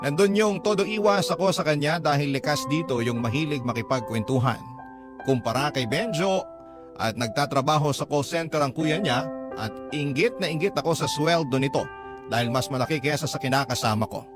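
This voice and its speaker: Radio narrator: a man reading as a lovestruck, discontented young mother confessing, higher register, prominent music bed. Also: a little fast, lightly acted. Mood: wistful, frustrated.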